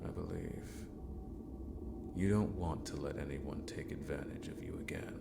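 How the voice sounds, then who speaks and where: A man playing an eccentric, possessive sorcerer mentor in a fantasy ASMR roleplay, half-whispering in a gravelly voice with formal, condescending deliberation. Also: a bass voice, very noisy, full character voice. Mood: cryptic, commanding, then intense, sympathetic.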